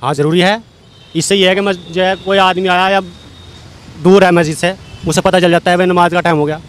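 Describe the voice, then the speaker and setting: Regular guy in a street interview, highish voice, explains stuff haltingly, bit of traffic noise. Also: fairly even intonation. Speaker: member of the public being interviewed. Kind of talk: informal street interview answers. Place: outdoors on a street.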